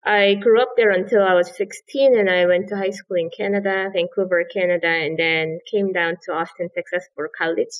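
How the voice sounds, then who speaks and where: A woman in her thirties from South Korea talks as a startup founder in a podcast interview. Her voice sits a little low. She explains things earnestly and often starts with "so" or "absolutely.". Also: steady tone, brisk, few pauses, low-quality recording.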